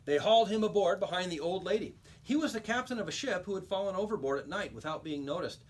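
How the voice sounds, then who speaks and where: Animated man reading a children's story. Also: sits high, fast. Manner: expressive, emphatic storytelling. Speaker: read-aloud teacher. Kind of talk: expressive children's storybook narration.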